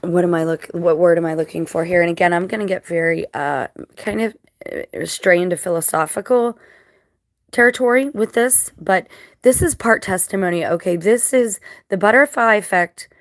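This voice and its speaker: A woman with a scratchy, dry-mouthed voice after crying, talking casually to viewers in a halting, reflective, earnest way. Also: on the low side, dry recording. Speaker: vlogger.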